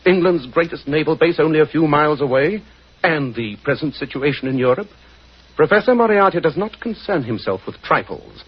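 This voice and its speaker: An actor playing a shrewd, commanding English gentleman detective in a 1940s radio drama, speaking precisely and with authority. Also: on the high side, expressive, brisk, slightly rough. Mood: brisk, grave. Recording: dull, noisy 1940s radio recording.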